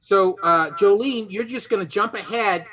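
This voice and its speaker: A man's voice: high voice, normal speed, fairly bright tone. A man hosting a game show in an unscripted, joking style.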